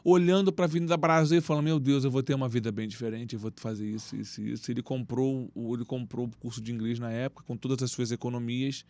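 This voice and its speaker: A man who coaches youth football, speaking as a podcast guest and telling stories in animated street slang. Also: medium pitch, fast talker, hardly any pauses, no room sound. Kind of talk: casual interview talk. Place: podcast studio.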